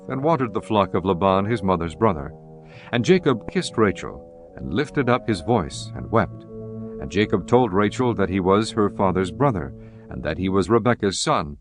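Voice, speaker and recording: A man narrating scripture in a measured, reverent manner. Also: fairly low pitch. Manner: measured, reverent, archaic diction. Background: guitar music.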